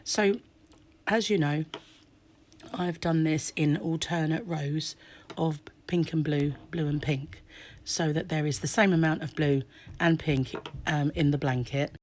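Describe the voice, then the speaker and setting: Low-voiced woman, slightly croaky. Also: fairly even intonation, a little slow. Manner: chatty, dips to soft half-whispers while working. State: croaky sore throat. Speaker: crochet teacher. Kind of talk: hands-on craft tutorial.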